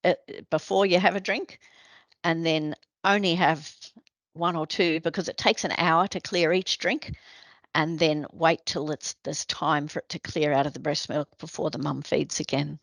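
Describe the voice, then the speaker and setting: A woman speaking over a video call, her voice low and a little gravelly. She explains plainly and practically, dotting her talk with "you know" and "um". The sound is close and dry. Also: fairly even intonation, normal speed, dry recording. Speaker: presenter, addiction medicine specialist. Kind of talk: webinar presentation.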